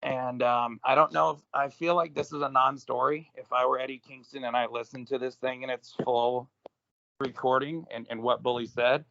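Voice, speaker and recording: A hoarse, raspy man co-hosts a casual wrestling podcast, rambling with frequent ums and restarts. His voice is gravelly and mid-pitched. The audio is dry and a bit dull. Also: steady tone, normal speed, sharp tone, no room sound. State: hoarse from smoking. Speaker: podcast co-host.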